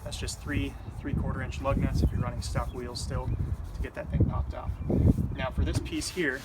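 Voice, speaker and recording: A man talks through a hands-on installation in an informal, step-by-step way. His mid-pitched voice sits away from the microphone, with loud outdoor vehicle noise all around it. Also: little pitch movement, moderate pace, recorded from a distance. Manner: informal, explaining step by step as they work. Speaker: DIY installation host. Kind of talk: casual hands-on tutorial narration.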